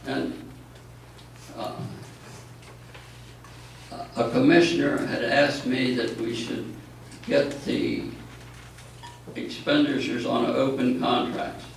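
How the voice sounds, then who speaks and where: A man addressing a town board at a public meeting, making measured, pointed remarks. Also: medium pitch, sing-song, creaky. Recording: echoey meeting hall, mic far off, faint noise.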